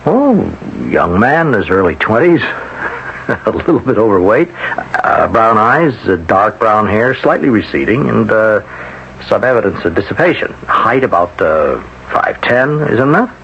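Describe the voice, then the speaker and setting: Radio drama psychiatrist: low voice, mild and thoughtful, careful hesitant phrasing, muffled vintage sound. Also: male voice, very animated, a bit bright, full character voice. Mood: observing. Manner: measured, hesitant, thoughtful, professional. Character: patient, mild-mannered male hospital psychiatrist. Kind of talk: 1970s radio drama acting. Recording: dull, noisy old radio recording.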